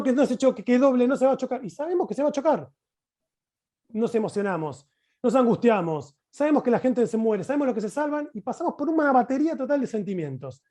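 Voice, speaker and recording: A man with an Argentine accent giving an explanatory conference talk as a teacher over a video call, in an expressive, emphatic way with rhetorical questions. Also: sits high.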